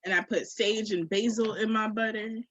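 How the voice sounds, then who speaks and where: A woman's voice: medium pitch, steady tone, moderate pace, fairly bright tone, no echo, highs cut off. A woman co-hosting a podcast in candid, casual chat, telling things conversationally with little acted asides. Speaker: podcast co-host.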